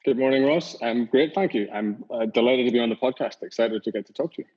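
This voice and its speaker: A middle-aged man from Northern Ireland with a Northern Irish accent, a company founder chatting in an interview, relaxed and rambling with many fillers. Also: mid-range voice, quick pace, husky. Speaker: company founder and CEO, guest. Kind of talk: casual interview talk. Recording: dull lo-fi audio.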